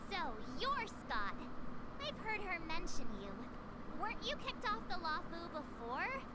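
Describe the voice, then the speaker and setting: A woman's voice: extremely high pitch, big pitch swings, a little slow, heavy background noise, heavily acted. A woman voicing a small, curious, innocent childlike companion in a video game.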